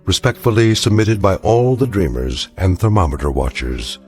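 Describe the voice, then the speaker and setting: A man narrating a radio drama as a grave, knowing storyteller, measured and portentous with a wry edge. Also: low-pitched, very animated. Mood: grave, ominous. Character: grave, knowing, ominous storyteller of strange tales. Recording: music under the voice.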